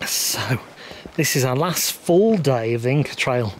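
A man's voice: medium pitch, lively intonation, a little slow, a little hiss or hum. Upbeat man vlogging on a hike. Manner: chatty, upbeat, thinking aloud. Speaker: travel vlogger. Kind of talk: casual hiking vlog narration. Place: outdoors on a mountain trail. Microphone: action camera at arm's length.

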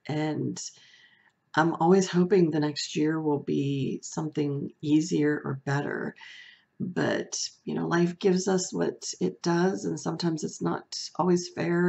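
A middle-aged woman with a soft, comforting voice, vlogging to camera from a room at home, reflective and hesitant, with fillers and restarts. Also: low-pitched, gravelly. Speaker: beauty vlogger. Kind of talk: casual talk to camera. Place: small room at home, indoors.

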